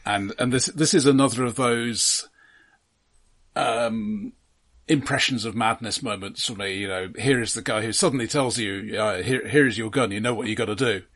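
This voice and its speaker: A man co-hosting a casual film discussion, explaining things in a measured, halting way. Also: lowish voice, fairly bright tone. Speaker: podcast co-host.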